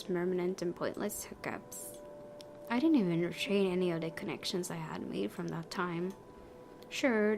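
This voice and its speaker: A woman's voice: on the low side, darkish tone, slight background noise. A young adult woman hosting a let's-play, reading visual novel narration expressively with informal, casual reactions. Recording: voice over prominent game music.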